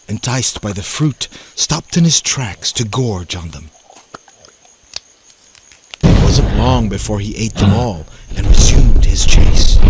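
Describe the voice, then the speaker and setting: A man narrating a scripted folktale in a dramatic, expressive storytelling manner. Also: mid-range voice, heavy background noise. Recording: loud music behind the voice. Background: music.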